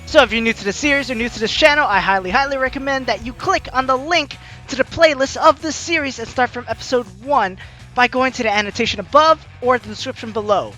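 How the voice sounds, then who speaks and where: A woman's voice: on the high side, fast talker, sharp tone, soft background music. A young woman hosting a game playthrough, reading the story aloud expressively and commenting as she goes, close on the mic. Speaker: let's play host.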